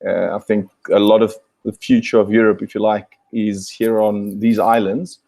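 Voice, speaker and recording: Low, dark-voiced man from South Africa. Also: little pitch movement, normal speed, gravelly, dry recording. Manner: hesitant, many fillers and restarts. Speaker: guest. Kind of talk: video call interview. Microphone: video call.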